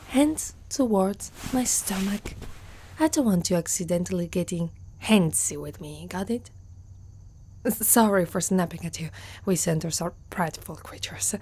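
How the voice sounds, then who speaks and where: Casting: woman as haughty centaur girl for ASMR fantasy roleplay, Italian accent, unhurried, soft dark tone, protective underneath. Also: medium pitch, slight background noise, lightly acted. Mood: flustered, proud. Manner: haughty, slow, often dropping into whispers. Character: proud, guarded young female centaur warrior, gruffly protective.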